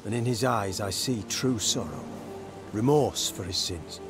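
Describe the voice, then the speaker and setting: An actor playing a devout, firm officer of a zealous church in a video game, formal and composed. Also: male voice, lowish voice, unhurried, close to an everyday voice. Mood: solemn, firm. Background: game music.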